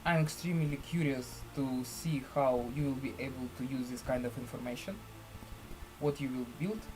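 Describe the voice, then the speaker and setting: A man presenting a screen-share software tutorial in halting, deliberate phrases with formal, elaborate wording. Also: medium pitch, background noise.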